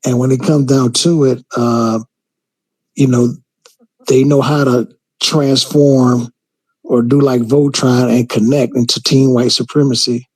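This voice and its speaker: A man calling in to a talk show, speaking in a halting way with many fillers and restarts. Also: mid-range voice, level tone, darkish tone, no echo, poor audio. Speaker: caller. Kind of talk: call-in commentary.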